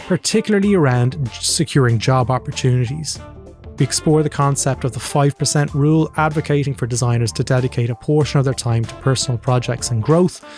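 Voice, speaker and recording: A man's voice: mid-range voice, darkish tone. Jokey male podcast host. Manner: jokey, playful asides. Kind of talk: scripted intro then casual interview chat.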